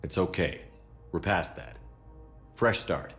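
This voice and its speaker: Deep-voiced, steady military commander. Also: male voice, slow pace, soft background music. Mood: reassuring. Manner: direct, clipped phrasing. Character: male military commander, steady, supportive, direct. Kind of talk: video game voice acting.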